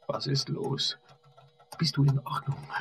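A man's voice: measured pace, natural voice. A man acting in a radio play as a harried, irritable doctor who snaps orders and asks terse, insistent questions. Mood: irritable, urgent. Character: harried, irritable male doctor, urgent and snappish. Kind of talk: German radio drama acting.

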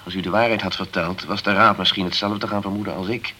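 An actor playing a sceptical male investigator in a radio crime drama, composed and persistent, with measured, pointed questioning. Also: low voice, steady tone, pushed and bright, a little hiss or hum. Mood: measured, regretful.

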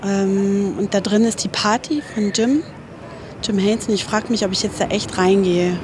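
An actress playing a self-doubting radio journalist, talking to herself in a naturalistic, tentative way. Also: female voice, medium pitch, steady tone, moderate pace, background noise, natural voice. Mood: doubtful, musing. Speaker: radio play protagonist, reporter. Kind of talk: naturalistic radio drama monologue.

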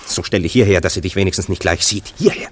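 An actor playing a witty, worldly bachelor in a classic radio play, urbane and sardonic. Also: male voice, deep voice, quick pace. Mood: urgent. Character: witty, ironic, worldly male bachelor friend.

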